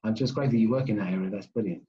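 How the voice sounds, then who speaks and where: A man interviewer asking casual questions, relaxed and a little hesitant as he thinks aloud. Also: fairly low pitch, very fast, highs cut off.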